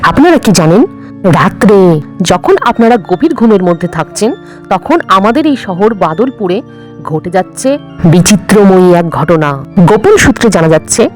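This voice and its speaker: একজন নারী কার্টুন গল্পে রোমাঞ্চকর খবর পড়া এক নাটকীয় সংবাদ উপস্থাপিকার চরিত্র করছেন।. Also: female voice, medium pitch. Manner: sensational, suspenseful. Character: dramatic news presenter with a chilling report. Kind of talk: news presenting in a cartoon story.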